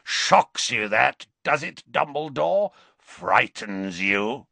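A man voicing a savage male werewolf with a rasping, barking voice, slow and menacing, in a dry storybook reading. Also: mid-range voice, big pitch swings, pushed and bright, no echo, pitched above the performer's own voice, exaggerated voice. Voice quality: rasping bark.

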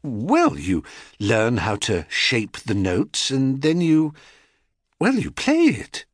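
Guy putting on a sweet fussy mum voice, soft, lilting up and down, slow. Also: medium pitch, wildly swooping pitch, no echo, studio quality, full character voice. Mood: soothing. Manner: soft, soothing, a little flustered. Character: gentle, doting mother of a boy.

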